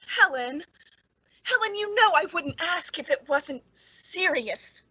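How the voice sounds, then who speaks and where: A woman's voice: very high voice, lively intonation, takes it slowly, bright, forceful tone, no room sound, heavily acted, poor audio. An actress playing a nervous, secretly bitter woman with a hesitant, pleading manner in an old-time radio suspense drama. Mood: urgent, pleading.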